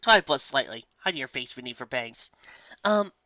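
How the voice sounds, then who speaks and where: A woman narrating a story in an expressive reading style, on a dull, slightly lo-fi recording. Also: rumbling low, fairly quick, no room sound.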